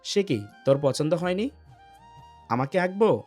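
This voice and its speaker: A curt young man played by a male actor in an audio love story, teasing and bossy with his family. His voice sits slightly high for a man, and music plays behind it. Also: lively intonation, a little slow, darkish tone. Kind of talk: Bengali audio story acting.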